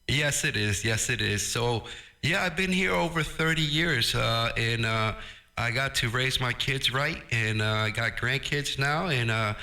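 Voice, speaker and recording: A man's voice: medium pitch, unhurried, pushed and bright. A middle-aged man chatting casually over ham radio. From Brooklyn, New York. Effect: ham radio transmission. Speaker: amateur radio operator.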